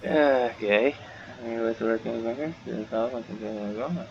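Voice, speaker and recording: A man's voice: medium pitch, normal speed, dull audio, noisy background. A man giving casual playthrough commentary as a gaming host, muttering to himself and reacting aloud. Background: game music.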